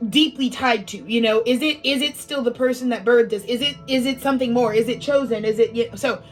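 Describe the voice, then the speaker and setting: A woman's voice: fairly high pitch, steady tone, brisk, soft background music, rough recording. A woman giving a casual spiritual monologue as an astrology speaker, a little sniffly, rambling with lots of fillers and free swearing.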